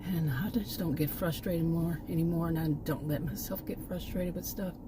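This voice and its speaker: Middle-aged Texan woman, lower voice, rambling outdoor vlog chat, clear background noise. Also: steady tone, moderate pace. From Texas. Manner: meandering, repeats words, trails off. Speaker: vlogger. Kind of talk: casual rambling chat to camera. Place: outdoors near a park.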